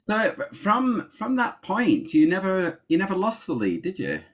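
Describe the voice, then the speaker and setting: A woman interviewing a runner, asking halting questions with many restarts and encouraging murmurs. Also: medium pitch, huge pitch swings. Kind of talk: casual interview.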